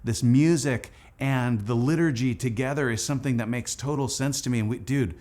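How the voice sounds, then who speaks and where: A man's voice: mid-range voice, normal speed, darkish tone. A man speaking casually and enthusiastically in an informal storytelling style, like a musician narrating a video diary. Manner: enthusiastic, informal, peppered with "like" and "dude". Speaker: musician narrating the making of his record; Anglican priest.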